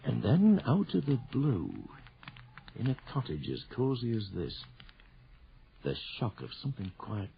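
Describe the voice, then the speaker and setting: An actor playing a haunted sergeant major back from India, grave and foreboding, telling it with theatrical pauses in an old-fashioned radio horror style. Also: male voice, medium pitch, wildly swooping pitch, gravelly, slight background noise, exaggerated voice. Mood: haunted, dramatic. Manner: theatrical, ominous storyteller with dramatic pauses. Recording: dull, lo-fi old radio drama recording.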